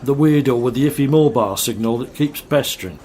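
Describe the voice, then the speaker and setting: A man's voice: medium pitch, a little fast, warm tone, slight background noise, close to an everyday voice. A man acting a stressed, irritable local radio presenter in an audio drama, defensive and hesitant. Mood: casual, uneasy.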